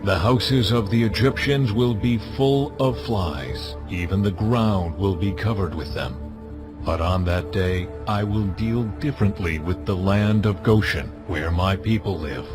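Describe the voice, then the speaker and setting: An actor playing a commanding, all-powerful God in a dramatized audio Bible, speaking in slow, solemn, forceful pronouncements. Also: male voice, low voice, over music.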